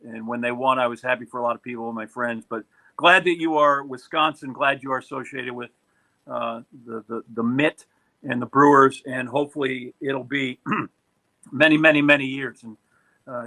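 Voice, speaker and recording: A man's voice: medium pitch, sharp tone, low-quality recording. An American man hosting a casual sports podcast in a joking, teasing manner. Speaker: podcast host.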